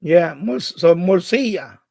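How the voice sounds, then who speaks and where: A man's voice: sits high, expressive, slow, creaky, dark tone, no echo, clean studio sound. A man from the Bronx, chatting casually as a live stream host, thinking aloud and repeating himself. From Bronx, New York City, USA.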